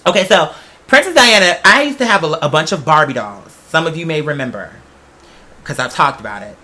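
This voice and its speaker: A man's voice: on the high side, lively intonation, a little fast, sharp tone, slight background noise. A man hosting a casual talk show, telling personal stories in a chatty, emphatic way with self-questioning asides. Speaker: podcast host. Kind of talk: casual personal storytelling monologue.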